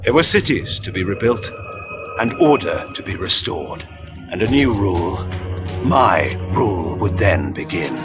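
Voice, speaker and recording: Low, imperious vampire conqueror. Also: male voice, animated. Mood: triumphant. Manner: imperious, eloquent, deliberate diction. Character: proud male vampire conqueror, cold, ruthless, imperious. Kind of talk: theatrical game acting and monologue. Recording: loud background music.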